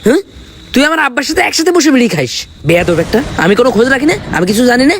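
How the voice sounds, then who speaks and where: A man's voice: very high-pitched, sing-song, rapid-fire, a little hiss or hum, heavily acted. A young man with a rural Bangladeshi accent playing a reproachful, pleading son in an amateur drama. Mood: angry. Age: young adult. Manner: reproachful, insistent. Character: upset, pleading young son scolding his smoker father. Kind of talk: amateur Bengali short drama acting.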